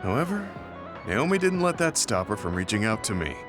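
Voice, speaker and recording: A kind, weary veteran soldier for a video game. His voice sits on the low side and he speaks in measured, reflective phrases that carry long guilt. Prominent music plays behind him. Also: big pitch swings. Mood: gentle, reflective. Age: middle-aged. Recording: studio voice under loud game music.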